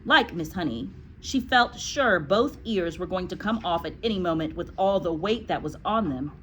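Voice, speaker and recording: Animated woman reading aloud to a class. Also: fairly low pitch, moderate pace, a little hiss or hum, rough recording. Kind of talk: animated storybook read-aloud with asides.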